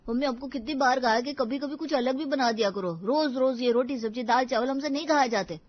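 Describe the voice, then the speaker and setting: A whiny schoolchild acted by a woman pleads and complains in a very high voice. It is a cartoon family-story part, on a slightly muffled recording. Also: a little fast. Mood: whiny complaint. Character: whiny complaining schoolchild sick of plain home food.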